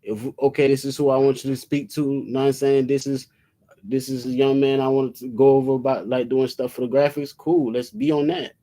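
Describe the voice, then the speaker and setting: A man's voice: mid-range voice, fairly quick, dark tone. An American man in his thirties chatting casually and telling a story in slangy speech. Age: 30s. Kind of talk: casual chat, telling an anecdote.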